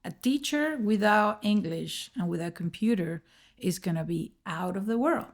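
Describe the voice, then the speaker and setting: A middle-aged woman from Venezuela with a Spanish accent, a podcast guest telling her own story in earnest, slightly halting English. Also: mid-range voice, animated. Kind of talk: personal storytelling in an interview.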